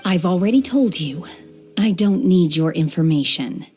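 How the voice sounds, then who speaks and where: A woman voicing an aloof, blunt exorcist in an English game dub, deadpan and measured. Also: fairly low pitch, breathy edge, faint music behind. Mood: cold warning. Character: aloof, blunt female exorcist, calmly menacing and unworldly.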